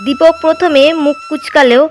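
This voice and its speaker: A woman narrating a cartoon village story in a steady, plain way. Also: high voice, moderate pace, faint music behind.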